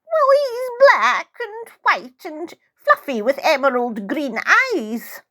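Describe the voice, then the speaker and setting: A woman's voice: high voice, sing-song, sharp tone, voice pushed up, exaggerated voice. Thin, trembling, eerie old lady. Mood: eerie, insistent. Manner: barking, slow, drawn-out questions. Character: strange spooky old woman, impatient, eerie.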